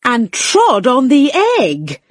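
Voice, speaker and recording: A woman's voice: a little high, sing-song, takes it slowly. A woman narrating a rhyming children's story expressively, as a read-along.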